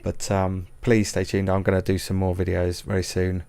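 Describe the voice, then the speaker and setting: A man giving a casual software tutorial in a hesitant, rambling, informal and self-deprecating way. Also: low-pitched, completely flat delivery, normal speed, gravelly, clean tone. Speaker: music production tutorial presenter.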